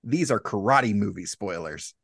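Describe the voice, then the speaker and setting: A man's voice: fairly low pitch, lively intonation, normal speed, vocal fry, a bit bright, husky, dry recording, studio quality. A man who is a comedian and writer, joking and laughing during podcast banter. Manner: jokey, laughs often.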